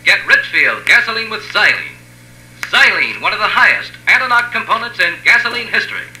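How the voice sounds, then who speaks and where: A man's voice: high-pitched, expressive, a little fast, sharp tone. A man announcing a 1950s radio commercial with an emphatic, polished sales delivery. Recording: old radio broadcast, boxy, echoey.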